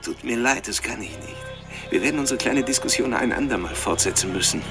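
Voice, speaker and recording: An actor in a radio drama playing a taunting, intellectual serial killer, with a mocking, theatrical way of drawing out phrases. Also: male voice, medium pitch, lively intonation, over music, close to an everyday voice. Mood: condescending. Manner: mocking, theatrical, mannered, teasing, drawn-out phrasing.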